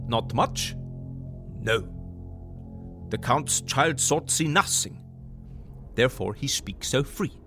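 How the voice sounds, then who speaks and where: An actor playing an older, earnest professor in an audio drama, lecturing emphatically in quaint broken English. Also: male voice, medium pitch, a bit bright. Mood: building intensity. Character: older male foreign professor, earnest, fervent, philosophical lecturer. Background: music.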